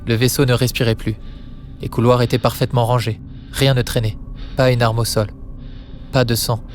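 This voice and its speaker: Male narrator, mid pitch, solemn and even, short suspenseful phrasing for audio fiction, music underneath. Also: normal speed. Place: studio. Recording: studio narration over music bed.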